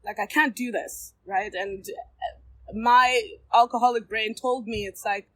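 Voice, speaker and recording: A woman in her thirties speaking candidly at a recovery meeting, halting often with ums and restarts as she addresses a circle of people in the room. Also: on the high side, fairly even intonation. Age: 30s. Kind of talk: personal share at a recovery meeting.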